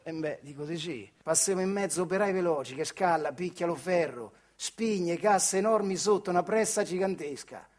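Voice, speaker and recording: A man's voice: high-pitched, normal speed, hardly any pauses, a little mellow, natural voice. A male actor with a Marche accent from central Italy playing Adriano, an earthy, wry factory worker who tells his memories in a colloquial theatrical monologue. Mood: wry narrating. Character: Adriano, working-class old factory man telling his childhood memories. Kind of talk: theatrical monologue storytelling in dialect-tinged speech.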